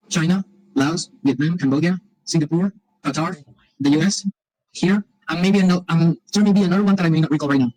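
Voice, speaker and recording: Man from Colombia, fairly high voice, hesitant. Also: a little mellow, low-quality recording. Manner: hesitant, searching for words. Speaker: former teacher.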